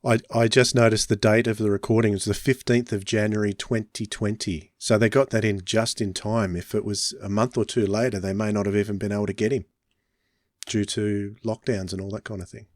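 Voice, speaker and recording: A man's voice: fairly low pitch, level tone, brisk, dry recording. A man hosting a casual podcast discussion in a conversational way on a close microphone. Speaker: podcast host.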